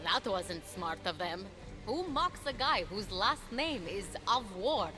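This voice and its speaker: An actress playing a plucky, wry and teasing young heroine in a video game. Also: female voice, medium pitch, big pitch swings, slow pace, over music. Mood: upbeat, wry. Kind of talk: video game cutscene acting.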